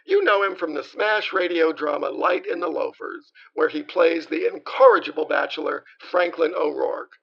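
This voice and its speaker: A man's voice: fairly high pitch, moderate pace, creaky, hardly any pauses, lo-fi sound. An actor playing a brassy male 1930s radio show host, full of showbiz patter and innuendo. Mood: smarmy.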